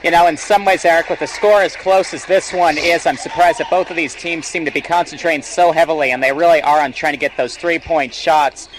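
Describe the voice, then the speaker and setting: Higher-voiced male color commentator in loud noise. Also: steady tone, a little fast, few pauses. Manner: analytical, conversational. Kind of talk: live basketball commentary. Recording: noisy live sports venue broadcast. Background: loud gym ambience.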